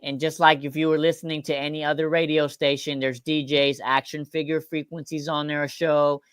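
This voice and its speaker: Smooth, higher-voiced man explaining casually. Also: level tone, normal speed, fairly bright tone, dry recording.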